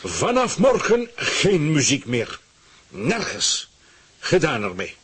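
Pompous ruler, swooping, husky voice. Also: male voice, fairly high pitch, huge pitch swings, takes it slowly, fairly bright tone, full character voice. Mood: commanding. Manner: imperious, dictating orders with blustering hesitations. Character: pompous, scheming male government ruler, autocratic and impatient. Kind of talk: satirical radio play acting.

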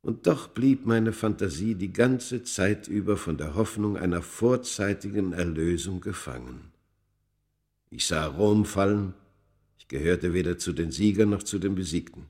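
A low male voice for a radio play an immortal man of ancient Egypt. He is world-weary and tormented, and tells of his centuries in a grave, measured, literary manner. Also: darkish tone. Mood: weary, resigned. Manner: grave, deliberate, literary delivery. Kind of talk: dramatic first-person radio play narration.

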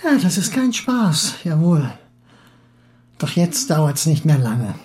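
A man playing a talkative, excitable Russian émigré husband who philosophizes eagerly and halts for words in acted audiobook dialogue. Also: a little high. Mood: eager, chatty. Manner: garrulous, halting when searching for words.

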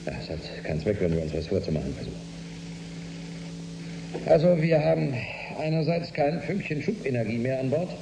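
An actor playing a commanding, weary spaceship captain in a German radio drama. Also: male voice, medium pitch, sing-song, fairly quick, pauses often. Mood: grave, resigned. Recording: roomy studio with clear background hum.

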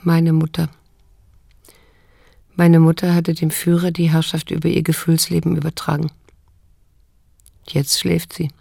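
An actress playing a wounded yet restrained middle-aged daughter in a radio drama, speaking in a measured, sober, pointed way. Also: female voice, deep voice, completely flat delivery, creaky, warm tone, breathy edge, no room sound, soft background music, heavily acted. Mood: pensive, tender. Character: probing, reproachful daughter in her late fifties, wounded yet restrained. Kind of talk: German radio drama acting and narration.

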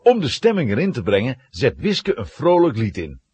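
A man's voice: medium pitch, huge pitch swings, moderate pace, darkish tone, breathy edge. A man narrating a children's audio drama as a dramatic storyteller.

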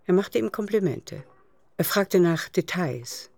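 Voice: medium pitch, lively intonation, unhurried, creaky, darkish tone, no room sound. A narrator reading scripted literary prose in a radio drama. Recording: music underneath. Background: music.

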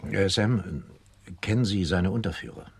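An actor playing a methodical police investigator with a halting, probing way of talking in a German radio play. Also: male voice, sits low, expressive, gravelly, breathy edge, exaggerated voice. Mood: probing, polite. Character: methodical, hesitant-spoken male police investigator.

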